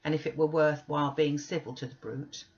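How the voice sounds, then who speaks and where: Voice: mid-range voice, steady tone, fairly quick, darkish tone, lo-fi sound, lightly acted. A narrator voicing a spirited, haughty teenage girl in a storybook, exclamatory and mocking. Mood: scornful, teasing. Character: spirited, teasing, haughty teenage girl telling her adventures.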